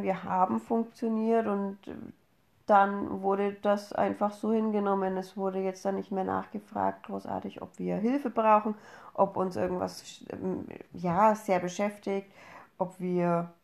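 Female podcast co-host, early thirties, from Upper Franconia, medium pitch, conversational delivery. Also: gravelly, a little mellow. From Upper Franconia, Germany. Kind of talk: casual conversational podcast talk.

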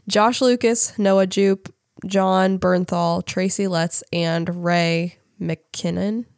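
A woman's voice: on the low side, fairly even intonation, extremely slow, darkish tone, no room sound, clean studio sound. A woman co-hosting a casual podcast, congested from a cold, reading out facts with frequent fillers and some hesitation over names. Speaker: podcast co-host.

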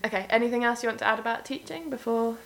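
A woman's voice: a little high, rapid-fire, creaky, a little hiss or hum. Woman hosting a casual chat. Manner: warm backchanneling, frequent small reactions and laughs. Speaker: podcast host interviewer.

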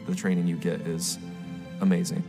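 A woman's voice: extremely low pitch, flat delivery, normal speed. A young woman, a college student, giving a casual testimonial. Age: young adult. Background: music with guitar.

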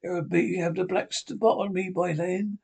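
Man doing a gravelly dying old sea captain. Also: sits high, fairly even intonation, a little mellow, full character voice. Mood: agitated. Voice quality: gravelly, husky, feeble. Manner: pleading, wheedling, cursing, slow and drawn out. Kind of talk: audiobook character voice.

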